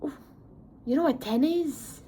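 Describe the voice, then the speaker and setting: A woman's voice: sits high, unhurried, mellow, a little hiss or hum. A woman in her twenties chatting casually to camera as a travel vlogger, self-mocking and tired at day's end. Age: 20s. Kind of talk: casual vlog chat to camera.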